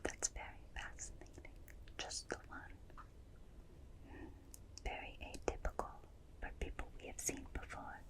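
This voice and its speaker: A woman voicing a gentle, curious alien examiner in a breathy whisper, slow and soothing, in an ASMR roleplay. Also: natural voice, poor audio. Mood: gentle, reassuring. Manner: slow, soothing, softly clinical, repeats phrases. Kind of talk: ASMR roleplay, personal attention.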